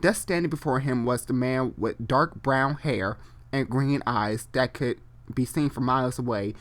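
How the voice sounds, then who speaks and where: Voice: mid-range voice, unhurried. A person doing a comedic dramatic reading of amateur online fiction, theatrical and unfiltered, breaking off into casual asides and re-reading stumbled phrases. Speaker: reader and host.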